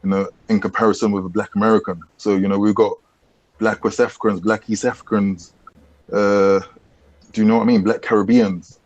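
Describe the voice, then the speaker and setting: A British man speaking as a podcast guest, explaining his points in a measured way with frequent "you know". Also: fairly low pitch, level tone, a little mellow, dry recording, muffled recording. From Britain. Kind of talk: casual podcast discussion.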